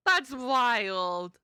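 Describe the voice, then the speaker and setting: Very high, expressive woman reacting. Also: smooth, no room sound, studio quality. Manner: expressive, exclaiming and drawing out words. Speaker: reaction video host. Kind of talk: casual reaction commentary.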